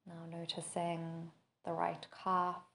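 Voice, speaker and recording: A woman leading a guided body scan meditation, giving gentle, measured instructions with long pauses between phrases. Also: on the low side, monotone, vocal fry. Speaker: podcast host and meditation teacher.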